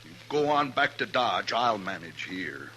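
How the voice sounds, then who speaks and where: A man's voice: on the low side, very animated, unhurried, sharp tone, full character voice. A man playing a grieving, stubborn older rancher bent on revenge, with a rural American accent and a blunt, folksy, accusing manner, in a 1950s radio western. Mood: bitter, threatening. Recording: old radio recording, faint noise.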